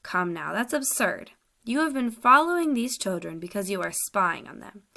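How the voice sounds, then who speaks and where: A woman's voice: medium pitch, sing-song, normal speed, vocal fry, bright, forceful tone, full character voice. A stern, commanding uncle presses his questions sharply, as a woman reader puts him on. The voice is severe and suspicious in a storybook reading. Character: stern commanding older uncle, boat captain.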